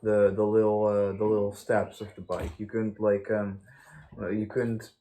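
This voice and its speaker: A man chatting casually as a vlog host, telling stories in a halting, stammering, joking way. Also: fairly low pitch, level tone, mellow. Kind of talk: casual rambling storytime chat. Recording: noisy amateur vlog recording.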